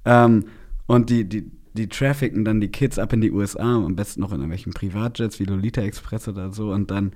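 A young male podcast host with a voice a little on the low side. He talks casually and haltingly, rambling along and leaning on youth slang. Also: a little mellow.

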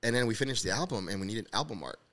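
A man from Honduras with a lowish voice talks very quickly as a podcast host. He is casual and encouraging, often repeating a phrase to drive it home. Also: expressive, a bit bright. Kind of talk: casual conversational interview.

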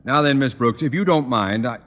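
A man's voice: mid-range voice, fairly quick, muffled recording, lightly acted. An actor playing a pompous, irritable middle-aged father, blustery and wordy, in old radio comedy style. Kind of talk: 1940s-50s radio comedy acting. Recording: old radio recording, reverberant, faint noise.

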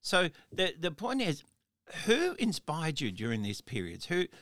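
Man, interview host, bright tone, rambling questions, dry close sound. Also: on the high side, huge pitch swings, a little slow, no echo. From Australia. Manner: rambling questions with frequent restarts and laughs. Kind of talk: casual conversational interview.

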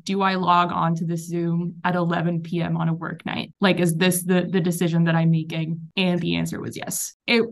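A young woman with an Israeli accent chats easily as a podcast guest, on the lower side for a woman's voice. She talks in a lively, self-mocking way, often doubling back mid-sentence. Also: normal speed, gravelly, few pauses. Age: 20s. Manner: chatty, animated, self-deprecating with repeated restarts. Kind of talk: casual chat.

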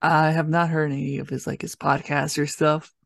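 A man's voice: on the high side, level tone, moderate pace, creaky, a bit bright, no room sound, clean studio sound. A man in his twenties chatting casually as a guest on a review podcast. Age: 20s.

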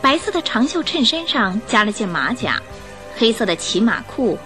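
A woman narrating a scripted radio drama in an expressive storytelling manner. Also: fairly high pitch, moderate pace, faint music behind.

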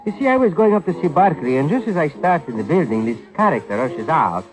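Sly needling crook, street slang, lively swings in pitch, mock-friendly, vintage radio drama. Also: male voice, fast talker, low-quality recording. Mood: slyly threatening. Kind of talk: 1950s radio crime drama acting. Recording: old radio recording, music behind.

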